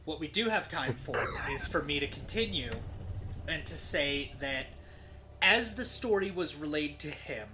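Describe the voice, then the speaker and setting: A streamer telling a story casually and comedically while gaming, with profanity, dramatic pauses and rhetorical tags. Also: mid-range voice, animated, bright, forceful tone, slight background noise. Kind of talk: casual comedic storytelling during game play.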